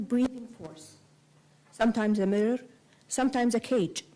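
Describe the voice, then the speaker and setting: A woman novelist who grew up between Kuwait and Saudi Arabia, a native Arabic speaker, reading a prepared essay aloud in measured, deliberate phrases. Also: on the high side, mellow, low-quality recording. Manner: measured, deliberate phrasing in short cadenced clauses.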